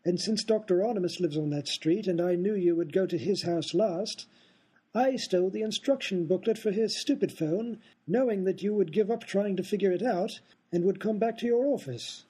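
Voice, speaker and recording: A man's voice: high voice, moderate pace, warm tone, husky, low-quality recording. An actor playing a smug, scheming aristocrat in a comedic radio play, explaining his prank at length.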